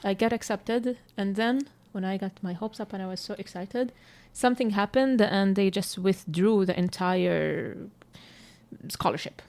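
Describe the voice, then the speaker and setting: Palestinian woman, candid and unhurried. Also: medium pitch, a little mellow. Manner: candid, searching for words, frequent fillers. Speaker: co-host. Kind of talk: casual podcast conversation.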